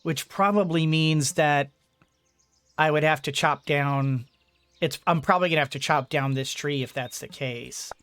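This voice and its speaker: Soft-spoken woman narrating her gameplay. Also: low voice, normal speed, faint music behind. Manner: gentle, thinking aloud while playing. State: slightly congested throat. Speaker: gaming YouTuber. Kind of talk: let's-play commentary.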